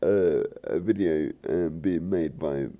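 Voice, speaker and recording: Deep croaky man, rambling gamer talk. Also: slow, narrow, tinny audio. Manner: hesitant, many fillers and restarts. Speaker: gaming channel host. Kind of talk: rambling let's play commentary.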